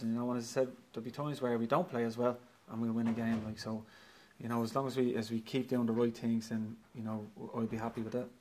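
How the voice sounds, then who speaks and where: A quick-talking Irish man in his forties answers post-match questions into a handheld mic. His delivery is flat and even, matter-of-fact and repetitive, frustrated yet upbeat about his team. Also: mid-range voice. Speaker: football manager. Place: clubhouse trophy room, indoors. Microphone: handheld mic held by interviewer.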